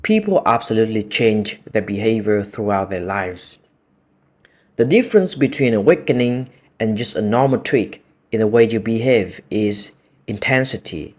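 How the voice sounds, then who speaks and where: Low-ish calm guy w a vietnamese accent, slow thoughtful musing. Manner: calm, measured, contemplative. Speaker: video essay host. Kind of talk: reflective monologue.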